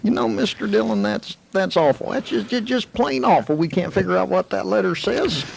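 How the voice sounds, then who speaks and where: An actor playing an earnest, simple-hearted Old West sidekick with a rural Western American accent, stammering and repeating himself in a radio western. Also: male voice, high-pitched, expressive, normal speed, gravelly, breathy edge, heavily acted. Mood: concerned, eager. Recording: noisy, hissy old audio recording.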